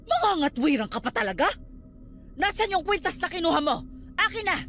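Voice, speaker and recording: High, dramatic, scolding Filipino aunt. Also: female voice, big pitch swings, fairly quick, a bit bright, soft background music, exaggerated voice. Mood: scolding, alarmed. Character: stern, superstitious aunt scolding her nephew. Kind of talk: Filipino radio drama acting.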